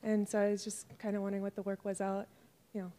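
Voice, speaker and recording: A woman's voice: medium pitch, monotone, fast talker, dark tone. An American woman in an audience asking a casual question, hesitantly searching for her words.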